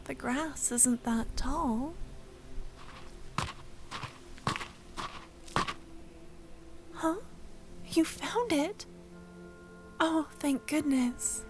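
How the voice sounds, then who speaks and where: Woman acting a soft, high-voiced smitten young woman. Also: drawn-out words, soft background music. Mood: anxious, searching. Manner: soft, breathy, often dropping to a whisper. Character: shy, excitable, tender young woman, stargazing childhood friend in love. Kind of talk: soft-spoken ASMR audio roleplay.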